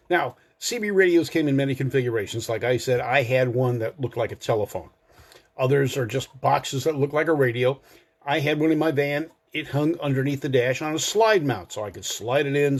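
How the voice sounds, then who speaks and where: An older man hosting a car enthusiast show, talking casually and explaining things in a folksy way with wry asides. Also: medium pitch. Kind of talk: casual informative monologue to a live audience online.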